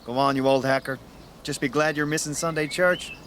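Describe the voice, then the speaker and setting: An actor playing a jovial, teasing golfing buddy in a radio drama. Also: male voice, on the high side, fairly even intonation, a little fast, a bit bright, slight background noise, natural voice. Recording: faint outdoor ambience with birds.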